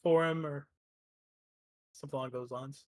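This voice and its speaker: A man's voice: fairly high pitch, steady tone, normal speed, dry recording. A man playing a tabletop roleplaying game, describing what his character does in a hesitant, informal way.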